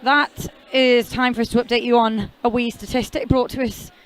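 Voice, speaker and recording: A woman's voice: a little high, steady tone, moderate pace. Chatty woman doing roller derby commentary. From half Welsh, never lived in Wales. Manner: chatty, jokey, rambling asides. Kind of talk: casual live sports commentary.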